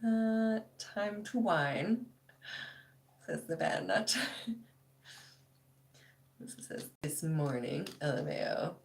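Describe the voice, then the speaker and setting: Woman in her 30s chatting on a livestream, kinda gravelly, lower voice but bouncy, laughs a lot. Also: a bit bright. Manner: chatty, laughs often, reads comments aloud. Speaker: livestream host reading chat. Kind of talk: casual live chat.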